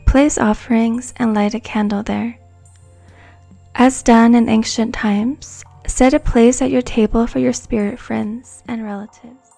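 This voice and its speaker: A woman giving a soft-spoken, hushed and soothing ASMR narration from a script. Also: on the high side, vocal fry. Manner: hushed, slow, soothing delivery.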